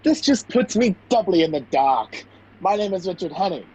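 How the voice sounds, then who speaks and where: Man playing a gallant high-voiced gentleman, puzzled. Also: very animated, normal speed, slightly rough, full character voice. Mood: curious, bemused. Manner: courteous, take-charge, questioning. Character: gallant, puzzled gentleman helping a frightened stranger. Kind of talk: radio play acting. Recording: video call with faint background noise.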